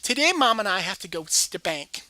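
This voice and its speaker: A woman's voice: medium pitch, very animated, a little fast, pushed and bright, dry recording. A woman voicing a rambling, naive eight-year-old girl who stammers and uses childish grammar in a comedy monologue.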